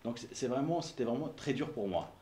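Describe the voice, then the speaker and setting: A man's voice: mid-range voice, expressive, fairly quick, mellow. Male host, casual and hesitant delivery, roomy recording with faint noise. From abroad, emigrated to France. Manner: informal, uses fillers like "on va dire", hesitant. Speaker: interviewer, podcast host. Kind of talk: casual interview.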